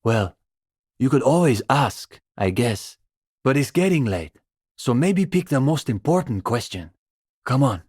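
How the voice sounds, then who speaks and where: A young male communist student for a video game. He is bookish and wry, wording things formally with earnest condescension. The voice sits at a middle pitch, recorded close and dry. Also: sing-song, measured pace, a little mellow, slightly rough, no room sound. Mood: patient, pedantic. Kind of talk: scripted video game character dialogue.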